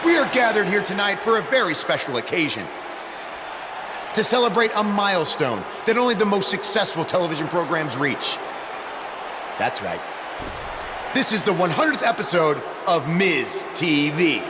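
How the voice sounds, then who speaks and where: A self-important wrestling talk-show host in a video game, declaiming in a high, pompous voice. It rings through a big hall, with a noisy crowd around him. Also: male voice, very animated, normal speed. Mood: pompous, scolding. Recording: echoing arena with crowd noise.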